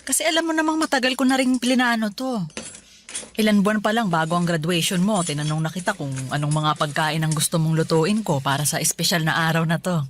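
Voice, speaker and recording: An actress playing a caring, hardworking single mother in a radio drama, speaking tenderly and insisting gently. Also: fairly low pitch, a little fast, slight background noise, lightly acted.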